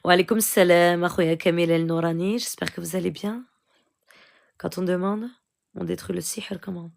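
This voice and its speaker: Low gravelly Moroccan woman streaming live. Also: fairly even intonation, normal speed. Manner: code-switching, frequent "euh" and "d'accord?" asides. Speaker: spiritual healer hosting a live stream. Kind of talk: live stream Q&A, advice to viewers.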